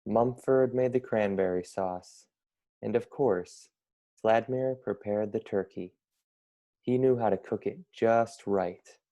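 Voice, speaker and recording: Young guy reading a kids story aloud, slow and mellow, lots of creak in the voice. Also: lowish voice, dull audio. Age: young adult. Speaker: storybook reader, college student. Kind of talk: scripted children's story narration.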